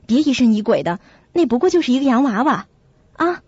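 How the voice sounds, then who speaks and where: A woman playing a sensible, caring young flatmate who narrates and acts in a radio drama, with a husky, breathy voice. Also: on the high side, a little fast, no echo, dull audio. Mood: concerned.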